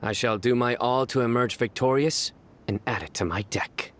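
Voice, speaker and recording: A man voicing a stern, deadpan young judge who speaks formally and loves bad puns. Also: mid-range voice, moderate pace, slight background noise, natural voice. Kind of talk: video game voice acting.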